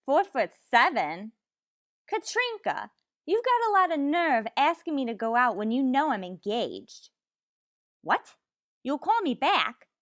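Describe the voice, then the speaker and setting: Theatrical, excitable single gal played by a woman for radio comedy; highish pitch, big swoops, quick to take offence. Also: no echo, studio quality. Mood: indignant, picky. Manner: theatrical, indignant. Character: man-hungry, indignant, excitable single woman in a tavern. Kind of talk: old-time radio comedy acting.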